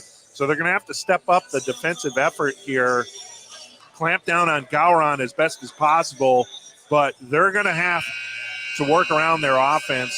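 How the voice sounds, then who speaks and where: An American man giving conversational color commentary on a basketball game. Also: on the high side. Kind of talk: conversational sports analysis. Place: indoor school gym during a game. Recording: faint gym noise on broadcast.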